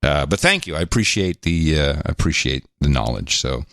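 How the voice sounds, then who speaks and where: A man's voice: very deep voice, moderate pace, no echo, studio quality. A man co-hosting a talk podcast, chatting casually, reading donor notes and joking around. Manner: animated, laughing, playful mock accents. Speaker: podcast co-host.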